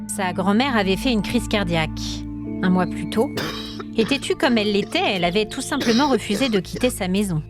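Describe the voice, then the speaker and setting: Woman narrating a story over soft music. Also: medium pitch, expressive, a little fast. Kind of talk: scripted dramatic narration of a fantasy tale.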